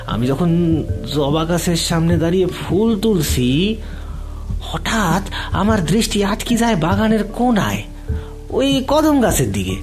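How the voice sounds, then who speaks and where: A man's voice: high-pitched, darkish tone. A man playing a meek, anxious forty-year-old male household cook in an audio drama, speaking deferentially. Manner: deferential, halting, testifying as a servant. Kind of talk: Bengali audio drama acting.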